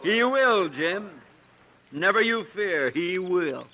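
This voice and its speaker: Folksy male town father, high-pitched, courteous and assured, muffled 1950s radio. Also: lively intonation, slow pace, full character voice. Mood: genial, assured. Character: folksy, courteous father presiding over a contest. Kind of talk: 1950s radio drama acting.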